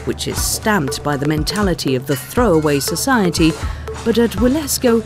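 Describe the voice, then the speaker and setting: A narrator reading a scripted factory documentary in a measured, explanatory way. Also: mid-range voice, expressive. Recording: music bed behind narration. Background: background music.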